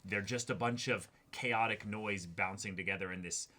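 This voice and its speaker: A man's voice: on the low side, normal speed, sharp tone. A man co-hosting an anime reaction show, talking casually and often repeating and rephrasing himself as he works through his thoughts. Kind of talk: casual discussion.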